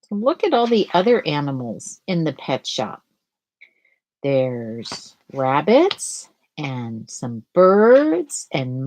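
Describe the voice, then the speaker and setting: A middle-aged woman reads a picture book to children in an unhurried way, with plenty of rise and fall in her pitch. She pauses often and talks casually to her young listeners, asking them gentle questions as a storyteller. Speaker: storyteller hosting a read-aloud for schoolchildren. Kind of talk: live picture-book read-aloud with casual chat.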